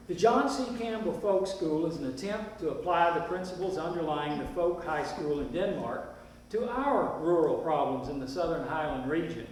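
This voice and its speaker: A plainspoken country leader of the 1920s, played by a man speaks courteously and deliberately in a stage play. The voice is high for a man and declaims through a long hall reverb with faint noise. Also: darkish tone, close to an everyday voice. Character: Mister Scroggs, courteous plainspoken rural community leader of the 1920s. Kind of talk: amateur stage play, speechmaking. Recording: distant echoey hall recording, background noise.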